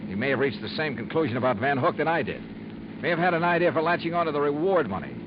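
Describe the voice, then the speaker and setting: A wry, hard-boiled insurance investigator, as an actor plays him for a 1950s radio drama, with a lively, rising and falling delivery. The laconic narration comes through a dull, muffled old broadcast under heavy hiss. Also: male voice, on the high side, fast talker, lightly acted. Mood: calm. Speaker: lead character and narrator.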